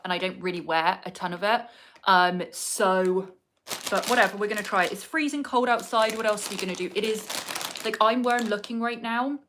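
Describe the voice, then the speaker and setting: A woman's voice: medium pitch, fairly bright tone, a little hiss or hum. An English woman on a casual livestream, chatting while doing her makeup at home, rambling with many fillers and asides to her viewers. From England. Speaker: livestreaming vlogger. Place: home indoors in cold winter weather.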